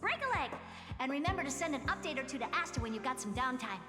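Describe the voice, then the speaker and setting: Breezy worldly space traveler gal in a game, high voice, big echoey reverb, knowing n casual. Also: female voice, animated, quick pace. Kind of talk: video game drama acting. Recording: long echoing reverb, music behind.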